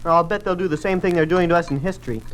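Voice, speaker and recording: A woman's voice: fairly low pitch, fast, natural voice, poor audio. A woman playing an earnest, confused schoolboy son with an eager manner in an old radio drama. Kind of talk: mid-century radio drama acting. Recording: old radio recording with background noise.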